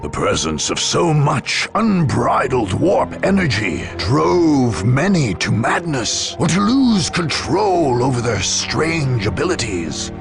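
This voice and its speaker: A man narrates a video game saga in a solemn, formal register. His pitch moves widely and dramatically, with a gravelly edge to the voice. Music plays behind him. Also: wildly swooping pitch, takes it slowly, few pauses. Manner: solemn, formal storytelling delivery.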